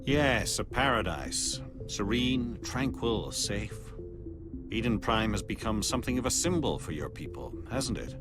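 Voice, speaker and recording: An actor playing a composed, watchful alien agent in a video game, speaking in a measured, formal, probing way. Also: male voice, lowish voice, lively intonation, music in the background. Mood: calm, probing. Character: composed, watchful alien Spectre agent, a male outsider evaluating humans. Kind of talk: video game cutscene acting.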